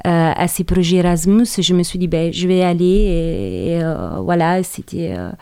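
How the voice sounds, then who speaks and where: A woman's voice: on the low side, normal speed, warm tone, smooth, no room sound, clean studio sound. A young woman from southern Italy, speaking French with an Italian accent, answers interview questions with frequent hesitations and repeated words. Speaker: guest. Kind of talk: radio interview.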